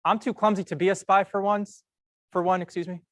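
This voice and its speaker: A young man giving a prepared, storytelling talk at a medical school event, measured and earnest with slow emphatic pauses. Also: sits high, little pitch movement, fairly bright tone, no room sound, studio quality. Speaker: medical student speaker.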